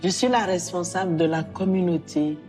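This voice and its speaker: A woman from Benin, a religious sister who leads her community, speaking slowly and reflectively in a documentary interview. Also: fairly low pitch, warm tone, soft background music.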